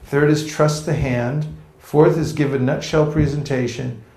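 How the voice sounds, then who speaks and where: A man's voice: on the high side, steady tone, measured pace, a little mellow. A man from California teaching a book distribution seminar to a live audience, joking and acting out street encounters. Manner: playful, jokey, acts out street encounters. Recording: echoey room.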